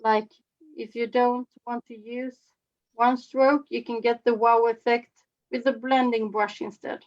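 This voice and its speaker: A woman from Sweden with a Swedish accent, giving an informal demonstration as a face painting instructor, with halting phrasing. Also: fairly high pitch, little pitch movement. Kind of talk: informal live demonstration with commentary. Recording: slightly dull, lo-fi webinar audio.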